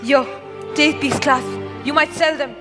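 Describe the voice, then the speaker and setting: A woman playing a gentle, kind-hearted young lady. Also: high voice, steady tone, a little slow. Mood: earnest. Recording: long reverb with music.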